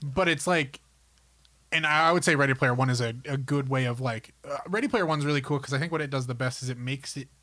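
Male podcast co-host, medium pitch, enthusiastic and rambling, casual conversational delivery. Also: fast talker, bright, forceful tone. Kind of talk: casual film and gaming chat.

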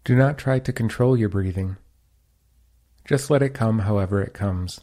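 A man leading a guided meditation as a teacher, giving calm, soothing instructions. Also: lowish voice, normal speed.

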